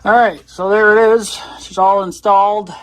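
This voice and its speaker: Rambling man with a slightly high voice. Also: steady tone, moderate pace, smooth, low-quality recording. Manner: rambling, thinking aloud. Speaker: video blogger. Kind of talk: casual hands-on gadget demonstration.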